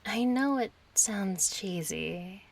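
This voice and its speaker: A woman's voice: medium pitch, expressive, slow, vocal fry, heavily acted. A woman acting a kind, chatty young friend in an intimate audio roleplay, casual, playful and a little hesitant. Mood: shy, sincere. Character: kind, chatty young female friend, gently yearning for closeness.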